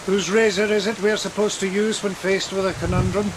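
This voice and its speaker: An actor playing a troubled, sceptical male sea captain in a gothic audio drama, deliberate and commanding in manner. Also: sits high, lightly acted. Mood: grim reasoning. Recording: loud steady rain noise. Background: rain.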